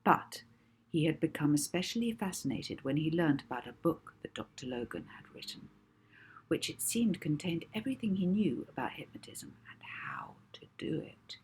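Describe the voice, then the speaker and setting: A woman reading a children's novel aloud as an expressive audiobook narrator. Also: sits low, moderate pace, in a whisper, rough recording.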